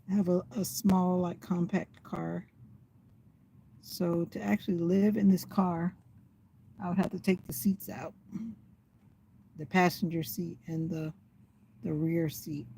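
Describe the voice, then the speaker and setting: Older woman vlogger, slightly raspy, low and mellow tone, rambling chatty livestream from a parked car, phone mic, faint ambience behind. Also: a little hiss or hum, rough recording. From USA. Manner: rambling, chatty, talking to herself. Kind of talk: casual livestream chat. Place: inside a parked car in the rain.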